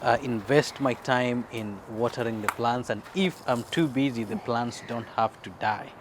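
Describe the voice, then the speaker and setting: A man's voice: mid-range voice, normal speed, noisy background. A man with a Kenyan accent chatting casually outdoors as he co-hosts a family garden vlog.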